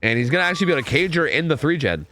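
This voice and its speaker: Fast, lively stuffy-nosed male caster. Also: a little high, slight background noise. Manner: excitable, swearing, filler-heavy. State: stuffy nose. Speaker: esports caster. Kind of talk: live game commentary.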